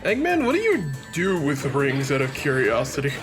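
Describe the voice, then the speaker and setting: A man's voice: fairly high pitch, wildly swooping pitch, normal speed. A man co-commentating a let's play with playful, theatrical improvised riffs over game music.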